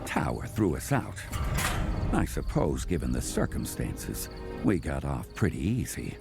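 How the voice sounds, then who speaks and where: An actor playing a brooding, hard-boiled crocodile detective narrating with a gravelly voice in an audio drama. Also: male voice, extremely low pitch, very animated, a little slow, heavily acted. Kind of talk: noir detective voice-over. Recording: music behind the voice.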